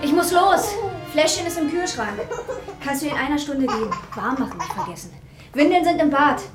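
An actress in a radio drama playing a brisk, businesslike younger woman. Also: high-pitched, animated, over loud music. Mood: brisk, stern. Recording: roomy, echoing sound.

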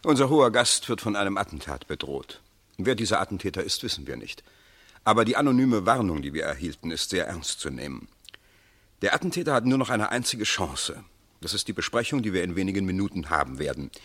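An actor playing a worried, formal government minister in a 1950s German radio play, speaking in a measured, urgent way. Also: male voice, low-pitched, big pitch swings, a bit bright, exaggerated voice. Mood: grave, worried.

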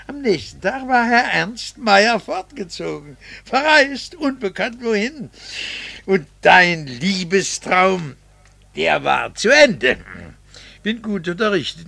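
Bitter middle-aged husband, gravelly, rather high voice, mocking theatrical phrasing, old radio play. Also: male voice, sharp tone, no echo, heavily acted. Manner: sardonic, theatrical, drawn-out rhetorical phrasing. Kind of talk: 1960s German-language radio drama acting.

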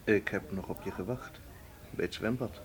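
Guy acting a caring, slightly reproachful companion in a radio play, low soft voice. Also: moderate pace, natural voice. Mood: concerned. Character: gentle, concerned male companion, mildly reproachful.

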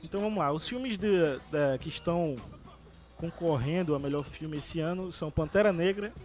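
A man's voice: a little high, normal speed, darkish tone, soft background music. A man hosting a casual podcast about films, talking with many fillers and restarts. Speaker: podcast host. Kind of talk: casual movie chat.

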